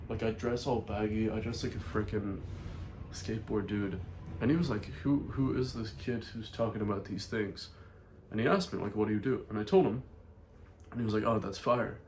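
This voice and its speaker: A man's voice: lowish voice, a little mellow, a little hiss or hum. A young man from Ireland with a blended Irish, American and London accent, chatting casually to camera in a slangy vlog, full of "like" and "bro". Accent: mixed Irish, American and London. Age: young adult. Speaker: vlogger.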